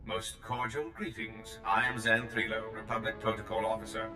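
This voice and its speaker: Flat, formal protocol droid played by a man. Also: fairly low pitch, lightly acted. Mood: formal courtesy. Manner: formal, measured announcing. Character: courteous robotic protocol officer droid, formal greeter. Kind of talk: scripted video game acting. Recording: echoey, with loud game music behind.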